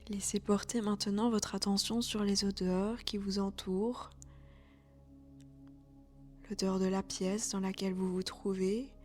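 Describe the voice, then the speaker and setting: A woman leads a guided meditation in a soft, soothing voice. Her pitch stays very flat and her pace is very slow, with some phrases breathed almost as a whisper. Faint music plays behind her. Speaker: yoga nidra instructor. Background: background music.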